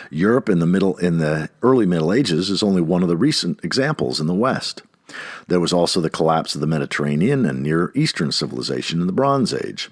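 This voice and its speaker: A man reading an essay aloud like an audiobook narrator, expressively and with deliberate, emphatic phrasing. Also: deep voice, husky, no echo, studio quality.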